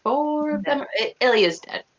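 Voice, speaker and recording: A woman's voice: high voice, animated, a little slow, lo-fi sound. A woman chatting casually in an online tabletop game, in a hesitant, piecemeal way. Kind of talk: casual in-character chat.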